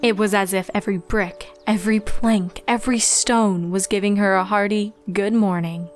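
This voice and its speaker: A woman narrates a story in a scripted storybook style, with a mid-to-low pitch for a female voice. Music plays behind her. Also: fairly even intonation, moderate pace. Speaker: fan fiction narrator.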